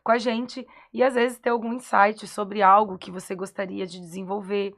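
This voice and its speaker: A woman's voice: mid-range voice, level tone, normal speed. A Brazilian woman co-hosting a psychology podcast, talking reflectively in a casual conversation. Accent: Brazilian Portuguese. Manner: reflective, affirming with frequent "uhum".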